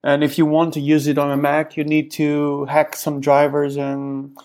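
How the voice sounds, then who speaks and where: A man's voice: fairly high pitch, monotone, normal speed, a little mellow. A man co-hosting a casual tech podcast, hesitant and often repeating his words. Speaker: podcast co-host.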